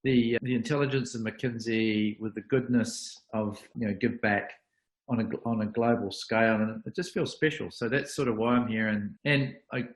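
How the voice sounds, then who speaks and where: A man's voice: lowish voice, fairly even intonation, normal speed, echoey room, poor audio. A New Zealand man in his fifties, chatting over a video call, self-deprecating and full of restarts. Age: 50s. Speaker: guest, consultant. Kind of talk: casual video call chat.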